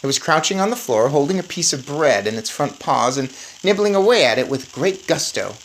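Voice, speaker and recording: A woman's voice: sits low, lively intonation, a little fast, slight background noise, poor audio. A woman reading a children's book aloud expressively, with informal asides to listeners. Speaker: read-aloud storyteller. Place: indoors in an attic.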